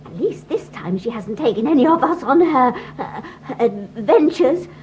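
A woman's voice: high voice, very animated, moderate pace, over music, rough recording. An actress playing a reassuring mother cat in an audiobook drama.

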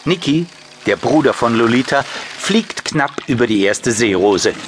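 A man's voice: medium pitch, lively intonation, unhurried, background noise. A man reading scripted narration for a children's audiobook.

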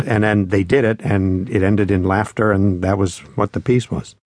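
A man's voice: lowish voice, fairly even intonation, moderate pace, a little mellow, a little hiss or hum. A man talking in a reflective, conversational storytelling way as a musician being interviewed, acting out quotes as he goes. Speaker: musician and spoken-word performer being interviewed.

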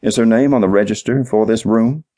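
A world-weary police detective, played by a male narrator, deep-voiced and sarcastic. He questions deliberately, with thinly held impatience, in a crime story. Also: mellow, lightly acted. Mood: patient, probing.

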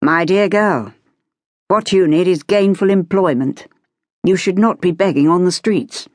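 A narrator playing an educated, patient gentleman academic in an audiobook, speaking in a measured, formal and precise way. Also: medium pitch, no room sound, clean studio sound, lightly acted. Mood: stern concern.

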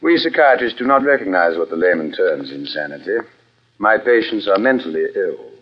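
An actor playing a troubled psychiatrist in an old radio drama, earnest, formal and hesitant. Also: male voice, sits low, expressive, normal speed. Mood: polite, measured. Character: troubled, brilliant psychiatrist fearing for his own sanity. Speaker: narrator and lead actor. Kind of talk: old radio drama acting, first-person narration. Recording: dull vintage radio recording.

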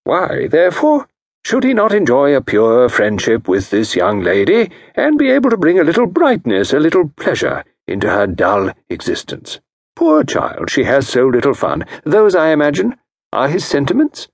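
A man voicing a calm, self-assured middle-aged consultant who speaks in a measured, soothing way. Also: a little high, dry recording, studio quality, pitched above the performer's own voice, heavily acted.

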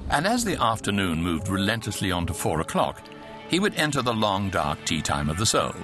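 An actor as a wry, urbane narrator of a comic science fiction radio drama. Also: male voice, lowish voice, sing-song, over music. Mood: ironically grave. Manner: wry, precise, measured irony. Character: wry, urbane storybook narrator of galactic absurdities. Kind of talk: scripted narration, radio comedy.

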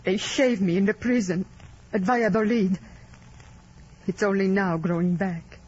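A woman's voice: mid-range voice, fairly even intonation, measured pace, darkish tone, a little hiss or hum, close to an everyday voice. An actress playing a shy but spirited young guerrilla woman in a vintage radio drama. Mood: self-conscious. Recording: muffled old radio drama recording.

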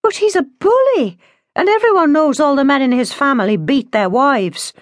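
A woman's voice: high voice, expressive, normal speed, no room sound, clean studio sound, voice pushed up. A woman playing a defiant, outspoken young woman of twenty-one in an audiobook, blunt and firm. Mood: defiant, indignant.

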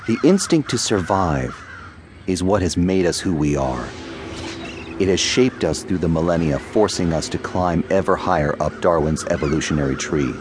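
An actor playing a philosophical, sardonic young fighter pilot in an audio drama, measured and dry. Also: male voice, low-pitched, animated, background noise. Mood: brooding, grave. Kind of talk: audio drama narration and dialogue. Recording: music behind the voice.

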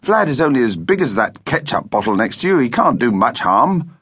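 A man puts on a calm storybook mother with a lifted pitch. She sounds reasonable and open-minded, coaxing gently. The intonation moves freely. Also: fairly quick, breathy edge.

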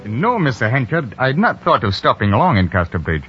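An actor playing a courteous, modest young newcomer, earnest and a little hesitant, in vintage radio drama style. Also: male voice, medium pitch, big pitch swings, brisk, loud music behind the voice, lightly acted. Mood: polite, eager. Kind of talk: 1940s radio acting. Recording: muffled vintage radio recording.

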